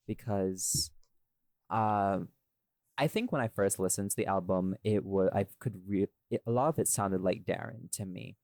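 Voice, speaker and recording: Man, low voice, dry close recording, casual podcast host, rambling and thinking aloud. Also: darkish tone, no echo. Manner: rambling, thinking aloud, often checks with "right?". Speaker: podcast host and audio series creator. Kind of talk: casual podcast discussion of own characters.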